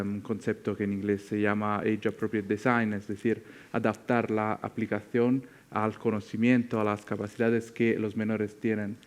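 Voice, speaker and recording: A man from Italy with an Italian accent, speaking a second language as a panelist, explaining things off the cuff and often pausing to search for words. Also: on the low side, darkish tone, clear, resonant tone. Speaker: tech company representative on a panel.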